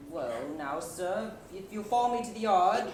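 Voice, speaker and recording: A woman's voice: medium pitch, lively intonation, moderate pace, a little mellow, close to an everyday voice. A young woman on stage playing a dry, impatient police inspector in a murder farce, pompous and exasperated. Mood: brisk. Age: young adult. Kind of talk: comedic student stage acting. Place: theatre stage. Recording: very echoey stage, distant, noisy.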